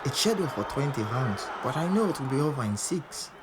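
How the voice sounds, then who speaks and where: A man's voice: mid-range voice, sing-song, a little fast, very noisy, close to an everyday voice. An actor playing a proud, defiant male boxer who tells his own story in dramatic first person. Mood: proud, intense. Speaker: narrator in an audio drama. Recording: music behind the voice.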